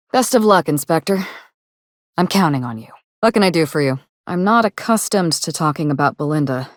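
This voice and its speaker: A woman's voice: low-pitched, no echo. An actress playing a sardonic, grieving woman out to avenge her sister in a video game, speaking articulately with dry, controlled sarcasm. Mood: exasperated, instructing. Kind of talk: video game dialogue acting.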